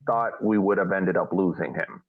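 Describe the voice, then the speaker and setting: Low-voiced man on tinny phone-like audio. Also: moderate pace, husky, no room sound. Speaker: co-host of a soccer fan podcast. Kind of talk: casual sports chat.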